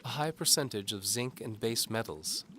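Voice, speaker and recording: Man voicing an earnest young apprentice woman, pitched up. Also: moderate pace, pushed and bright, a little hiss or hum, natural voice. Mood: explaining. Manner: earnest, deferential, instructive. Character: earnest, humble young female alchemist's apprentice.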